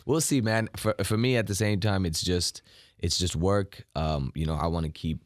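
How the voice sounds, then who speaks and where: A very low-voiced man, a native Malayalam speaker, chats easily as a guest in a radio studio. He thinks out loud, circling back with fillers and a calm, easygoing manner. His voice is close to the mic and dry, with no room sound. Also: moderate pace, dry recording. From India. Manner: laid-back, reflective, many fillers. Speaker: guest rapper. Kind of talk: casual interview chat. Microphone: studio microphone.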